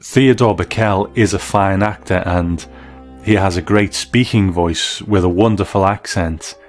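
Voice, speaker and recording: A male reviewer with a low voice gives scripted commentary. Faint music plays behind him as he presents. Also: unhurried.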